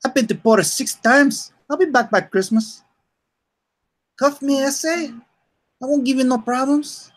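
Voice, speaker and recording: Man putting on a whiny, high-pitched panicky youngster. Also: unhurried, long pauses. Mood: pleading, protesting. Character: panicky pleading young street criminal in a comic script. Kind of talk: hammy amateur comic dramatization.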